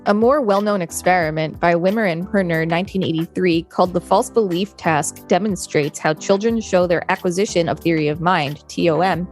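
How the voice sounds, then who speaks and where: A woman hosting a podcast and reading an encyclopedia article aloud. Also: fairly low pitch. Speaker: podcast host.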